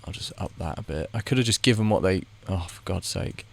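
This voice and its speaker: A man with a low voice and a gravelly edge talks through a game as he plays it. He rambles and mutters his reactions out loud, often stopping to restart a sentence. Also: fairly quick. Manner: thinks aloud, muttering reactions to the screen. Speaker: gaming video creator. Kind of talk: casual commentary while playing a game.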